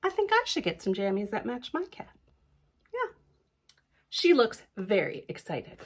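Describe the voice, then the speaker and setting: A woman reading a children's picture book aloud with expressive, drawn-out words, whispered drops and chatty questions to young listeners. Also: fairly high pitch. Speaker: library storytime reader.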